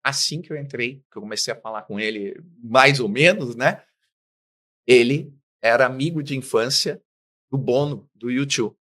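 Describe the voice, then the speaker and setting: A middle-aged man, a business guest in an interview, telling his life story with lively acted-out dialogue. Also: medium pitch, moderate pace. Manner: animated storyteller, acts out quoted dialogue.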